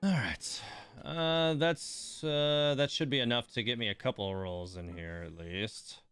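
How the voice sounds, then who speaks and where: A man streams his gameplay with casual, off-the-cuff commentary. He mutters to himself and grumbles at the game as he goes, in a middling-pitched voice. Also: measured pace, a bit bright, clean tone, faint music behind.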